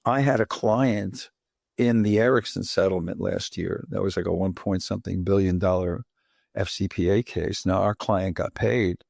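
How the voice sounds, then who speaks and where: Gravelly New man hosting a podcast. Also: sits low, fairly even intonation, moderate pace, a little mellow, dry recording, studio quality. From Bronx, New York. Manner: chatty, asks leading questions with frequent "right?". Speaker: podcast host. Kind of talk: conversational interview.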